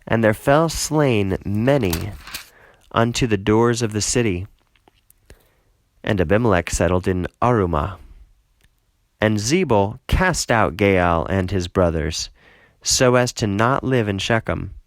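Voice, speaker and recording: A man narrating a scripted Bible reading in a measured, solemn way. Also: lowish voice, lively intonation, no echo.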